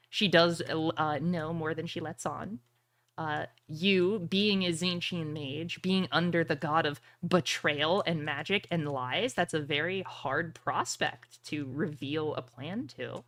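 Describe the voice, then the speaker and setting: A woman acting as game master, narrating and explaining a tabletop roleplaying game in a deliberate way. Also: low-pitched, fairly bright tone.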